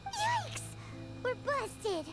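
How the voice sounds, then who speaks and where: Casting: tiny childlike game fairy, very high squeaky voice, blunt, excitable, swooping delivery, music underneath. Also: female voice, very slow, exaggerated voice. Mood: startled. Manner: blunt, puzzled questioning. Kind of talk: video game voice acting.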